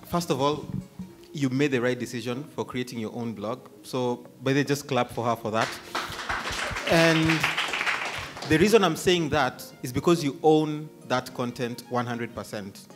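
A man's voice: medium pitch, normal speed, over music. Confident man advising on a panel. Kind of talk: panel answer. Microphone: handheld mic.

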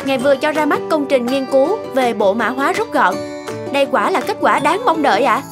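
A female news presenter from a cartoon, speaks formally in a very high voice. She sounds admiring, with music clearly audible behind her. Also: animated, fairly quick.

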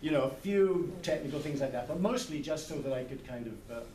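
A man's voice: fairly high pitch, animated, fairly quick, dark tone. Older man in an echoey room, thoughtful. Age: 60s. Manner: thoughtful, hesitant, searching for words. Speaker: literary translator. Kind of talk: informal discussion at a book club. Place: large indoor hall, small group seated in circle. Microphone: camera a few metres away. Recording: echoey, distant camera mic, background noise.

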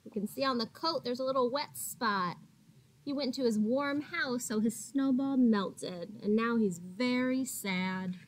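A woman in her thirties, a teacher reading a picture book aloud to young children in a deliberate, expressive way with drawn-out words. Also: fairly high pitch, rough recording. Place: small indoor classroom. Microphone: laptop or webcam at arm's length.

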